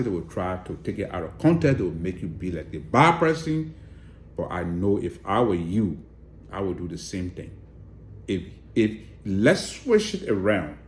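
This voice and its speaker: A man's voice: low voice, echoey room, a little hiss or hum. A man with a Liberian accent giving direct, emphatic political commentary and appealing to viewers. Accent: Liberian English.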